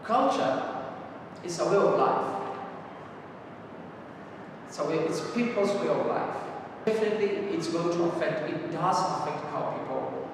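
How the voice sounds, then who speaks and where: A young man explaining his country's food habits in a thoughtful, pausing way. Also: fairly high pitch, vocal fry. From Kenya. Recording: echoey hall, distant mic, noisy.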